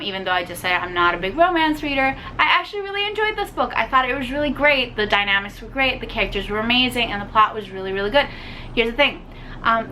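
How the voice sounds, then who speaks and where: A woman's voice: a little high, sharp tone, a little hiss or hum, low-quality recording. A young woman whose first language is Spanish, chatting casually and ranting animatedly about a book, with lots of fillers. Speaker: book vlogger. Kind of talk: casual book review chat.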